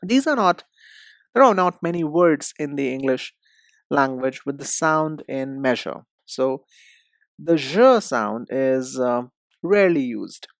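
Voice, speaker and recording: A man teaching English pronunciation in a deliberate, instructive way, speaking directly to the learner. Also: medium pitch, very animated, vocal fry, no room sound, studio quality. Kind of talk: instructional lesson.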